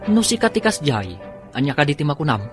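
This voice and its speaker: A man's voice: a little high, sing-song, a little fast, over loud music. Man playing a gossiping house helper. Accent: Ilocano. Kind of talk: radio drama acting.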